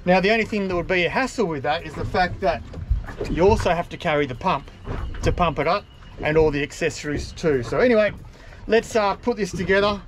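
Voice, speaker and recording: A man's voice: sits high, fairly quick, slight background noise. A man in his forties with an Australian accent, chatting casually and rambling a little as he films himself on a small motorboat outdoors. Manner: chatty, rambling, self-deprecating. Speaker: boating vlogger. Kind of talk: casual vlog narration. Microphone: camera mounted on the boat.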